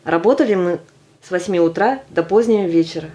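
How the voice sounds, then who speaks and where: A woman's voice: lowish voice, a little fast, dark tone, lightly acted. An actress playing a naive, earnest seventeen-year-old girl who volunteers for war, in a theatrical audio drama. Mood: earnest remembering. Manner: earnest, stubbornly keen. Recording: music under the voice.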